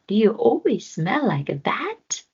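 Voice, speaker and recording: A young storybook gorilla, played by a woman, high and full of childlike eagerness. He sounds lonely and curious, with very animated intonation, on a slightly dull recording. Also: slow pace, gravelly, husky, full character voice. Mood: disgusted. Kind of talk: children's storybook read-aloud.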